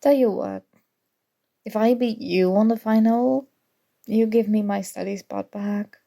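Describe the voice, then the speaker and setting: Unhurried, mellow, prickly student rival played by a woman. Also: mid-range voice, fairly even intonation, gravelly. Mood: bargaining, coy. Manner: teasing, defensive, flustered, soft-spoken tsundere. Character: prickly young female student, grudgingly fond academic rival. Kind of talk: ASMR audio roleplay acting.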